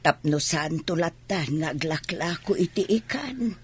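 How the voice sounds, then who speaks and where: A woman acts a gentle aging village mother in a radio play. Her Filipino-accented voice is very husky and breathy, and she speaks slowly with big swings in pitch. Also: lively intonation, heavily acted. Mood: weary, fond. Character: gentle elderly mother, wife of a fisherman. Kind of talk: Filipino radio drama acting.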